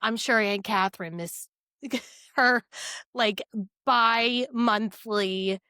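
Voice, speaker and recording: A woman's voice: a little high, extremely slow, bright, forceful tone, clean tone, no echo, studio quality. An American woman from New Jersey chatting casually as a podcast co-host. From New Jersey, United States. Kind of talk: casual chat.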